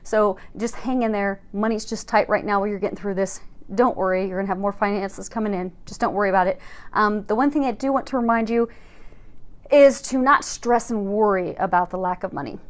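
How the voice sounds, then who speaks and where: A woman hosting a casual, conversational spiritual tarot reading, speaking to viewers in a reassuring, encouraging way. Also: mid-range voice. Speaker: tarot card reader and host.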